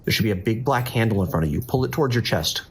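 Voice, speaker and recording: An actor playing a steady, weary police dispatcher in an audio drama, calm, measured and instructive. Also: male voice, on the low side, husky. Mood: firm, steadying. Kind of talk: audio drama acting with monologue.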